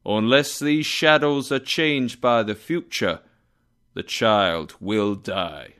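A solemn ghostly spirit in a storybook, low and grave, as a man gives it voice. It speaks with a heavy, accusing weight. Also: slow pace, fairly bright tone, clear, resonant tone. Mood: stern. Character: stern solemn male spirit, judging.

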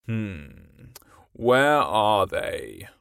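A man voicing a scheming, menacing pirate captain in a storybook reading, speaking low and slow. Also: huge pitch swings, creaky, a bit bright, clear, resonant tone, no room sound, clean studio sound, full character voice. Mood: brooding. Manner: slow, low, brooding delivery.

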